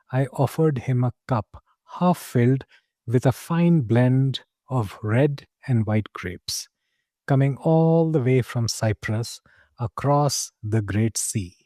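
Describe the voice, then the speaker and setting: A man acting as a mysterious tavern keeper spinning fantasy tales for a story podcast. His voice is warm and relaxed, with a slow, deliberate delivery. He is genial and confiding, dramatic in his phrasing, and the sound is close and dry. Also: mid-range voice, dry recording. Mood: hushed, intrigued. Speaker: narrator. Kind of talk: scripted first-person fantasy storytelling.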